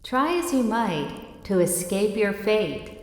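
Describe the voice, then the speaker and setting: A woman voicing a solemn, eerie reciter of ominous rhyming riddles in an audiobook, with drawn-out, portentous delivery. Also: medium pitch, sing-song, a little mellow. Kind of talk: slow rhymed recitation in an audiobook. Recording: echoey long hall reverb effect.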